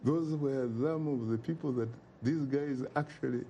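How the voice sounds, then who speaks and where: A man's voice: mid-range voice, normal speed, mellow, lo-fi sound, a little hiss or hum. A man from Uganda, speaking as an interview guest in an emphatic, oratorical way and repeating phrases for effect. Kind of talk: impassioned interview talk.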